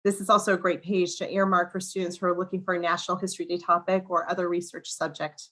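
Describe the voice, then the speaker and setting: Hoarse-voiced woman hosting a webinar. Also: on the low side, little pitch movement, quick pace, fairly bright tone. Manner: clears throat, stumbles and restarts words. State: slightly crackly, hoarse voice. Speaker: museum education director hosting a webinar. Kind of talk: scripted welcome and guest introduction. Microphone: video call.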